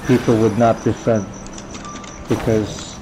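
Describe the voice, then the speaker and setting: A man's voice: fairly low pitch, level tone, takes it slowly, music in the background. A man chatting casually on a game livestream, musing and rambling as he goes. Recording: noisy stream with game sounds behind.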